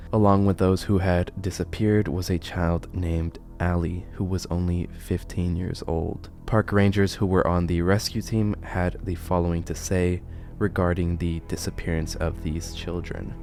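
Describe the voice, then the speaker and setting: A man's voice: low voice, level tone, measured pace, a little mellow. A man narrating and commenting on horror videos as an online host.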